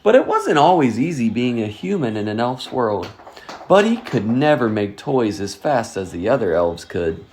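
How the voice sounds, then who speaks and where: A storyteller reading a children's picture book aloud in an expressive, scripted way. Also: mid-range voice, normal speed, a little hiss or hum. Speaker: storytime reader.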